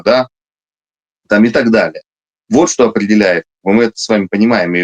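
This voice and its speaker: A man with a fairly low voice gives long, emphatic interview answers. He often stops to hesitate and restart his phrases, then presses his point firmly. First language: Russian. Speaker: guest, military officer.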